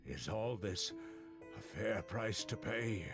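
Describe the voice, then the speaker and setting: A man playing a grave, embittered older monster-hunting master in a fantasy game, deliberate and dramatic, with music behind. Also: lowish voice, animated. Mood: remorseful, weary. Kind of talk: fantasy game character acting.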